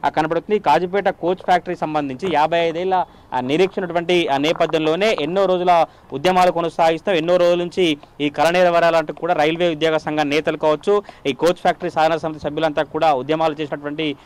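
Male field reporter, fairly high voice, rapid formal news delivery with filler sounds. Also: fairly even intonation, clear, resonant tone. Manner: fast continuous delivery with frequent "aa" fillers.

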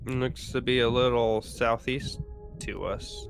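A man's voice: medium pitch, steady tone, a little slow, vocal fry, over music. A man chatting casually as a co-player in a video game.

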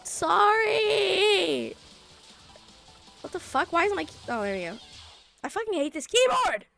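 A raspy, under-the-weather young woman chats through a game stream in a high voice, taking her time over her words. She hums little la-la tunes, curses at her keyboard and teases her chat. Faint game music plays underneath. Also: sing-song, unhurried. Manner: sings la-la to herself, swears, jokes with chat. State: sick, raspy voice. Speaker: VTuber streamer.

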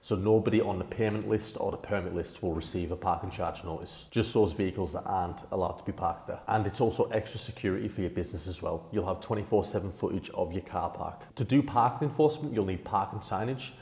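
A man's voice: low-pitched, fast talker, few pauses, darkish tone. A young man presenting a business service in a plain, practical, informal way over slides. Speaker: company presenter. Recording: echoey room with long reverb.

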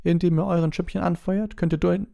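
A man voicing an elderly wizard headmaster in an audiobook, speaking in a ceremonious, deliberate way. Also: sits high, steady tone, creaky, dark tone, voice pushed up. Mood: pleased, encouraging. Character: elderly male wizard headmaster, solemn and pleased, announcing grandly. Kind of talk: audiobook character reading.

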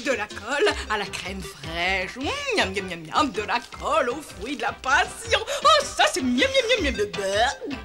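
A woman's voice: very animated, unhurried, hardly any pauses. An actress dubbing a lovesick, greedy queen in a cartoon, gushing with pet names. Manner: gushing, cooing pet names, shrill when angry. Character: lovesick greedy plump queen, bossy and doting. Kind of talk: French cartoon dub. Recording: music under an old VHS dub.

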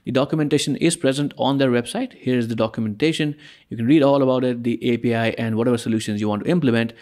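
A man talks through a software tutorial over a screen recording, explaining each step in a relaxed, conversational way. His voice sits at an ordinary middle pitch, and he sometimes corrects himself partway through a sentence. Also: fast talker. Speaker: tutorial presenter.

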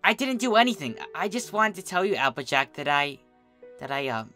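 Reader doing a brash young girl pegasus, nervous n stammery, bright voice, storybook w music. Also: medium pitch, very animated, fairly quick. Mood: flustered, earnest. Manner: stammering, flustered, casual. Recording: music behind the voice.

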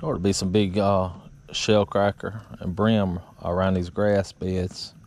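A middle-aged man, chatting casually in a relaxed drawl while fishing from a small boat on a river. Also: deep voice, measured pace, a little hiss or hum. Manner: relaxed drawl with frequent uh fillers. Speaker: fishing vlogger. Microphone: action camera on the boat.

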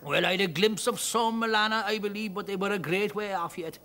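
A man's voice: high-pitched, a little fast, bright, forceful tone, voice pushed up. A man putting on a chatty Irish bug hunter. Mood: cheerful. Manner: lilting, rambling.

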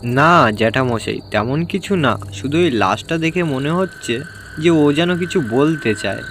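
A man's voice: on the high side, expressive, unhurried, a bit bright, slight background noise. A man playing an uneasy, hesitant young villager in a horror cartoon. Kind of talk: cartoon horror story acting. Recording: music behind the voice.

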